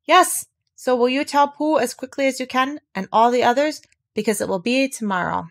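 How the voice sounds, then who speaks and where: A woman's voice: high-pitched, moderate pace, gravelly, pitched above the performer's own voice. A woman voicing an eager, earnest young boy in a storybook, speaking with emphasis.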